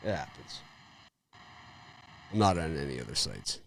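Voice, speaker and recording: A man's voice: rumbling low, sing-song, a little slow, gravelly, dry recording. A man hosting a live sports betting show, chatting casually and rambling a little, with restarts and short laughs. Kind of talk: casual live-stream chat.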